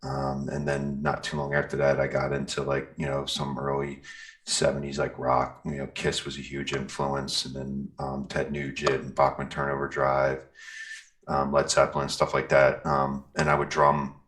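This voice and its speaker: Middle-aged male interview guest with an extremely low voice, loose and rambling, telling anecdotes casually. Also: monotone, moderate pace, a bit bright. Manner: informal, rambling. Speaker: interview guest, metal singer and guitarist. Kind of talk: casual conversational storytelling.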